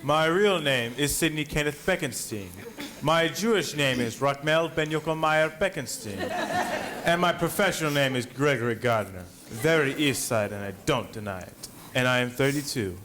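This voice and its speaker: Self-assured thirties male dancer, played by a man, theatrical, medium pitch; noisy live stage recording. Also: normal speed, close to an everyday voice. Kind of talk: stage musical acting.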